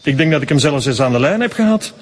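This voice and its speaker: An actor with a Flemish accent playing a prim, devout church sexton in a radio drama, formal, evasive and curt. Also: male voice, a little high, lively intonation, fast. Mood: guarded.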